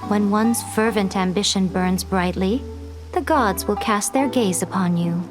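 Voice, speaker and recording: A woman's voice: mid-range voice, a little slow, lightly acted. A woman playing an elegant, worldly shrine priestess in a video game, poised, refined and playful. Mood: poetic, reflective. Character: sly, elegant, teasing shrine priestess, worldly and self-assured. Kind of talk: video game cutscene acting and narration. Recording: music behind the voice.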